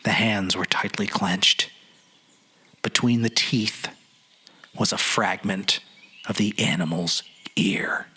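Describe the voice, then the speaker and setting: A man giving a suspenseful dramatic reading of a horror story, with deliberate phrasing and pauses. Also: on the low side, sharp tone, husky. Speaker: podcast narrator.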